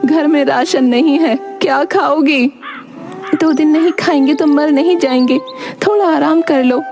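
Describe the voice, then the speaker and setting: Woman as a high-voiced wronged village woman. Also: unhurried, music in the background. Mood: worried, weary. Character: poor wronged village widow and her daughter. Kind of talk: animated moral-story dialogue.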